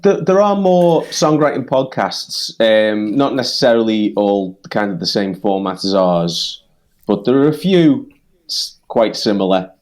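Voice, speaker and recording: A man with a Liverpool accent, a songwriter and podcaster answering casually in a halting, relaxed way. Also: fairly low pitch. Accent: Liverpool English. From United Kingdom.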